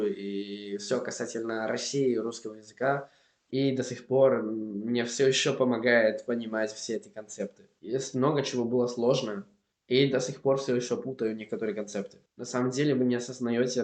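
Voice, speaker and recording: A man's voice: medium pitch, reverberant. A young man from Spain with a Spanish accent, speaking Russian as a learner in an earnest, encouraging vlog. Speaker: language learning vlogger. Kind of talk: casual vlog talk to camera.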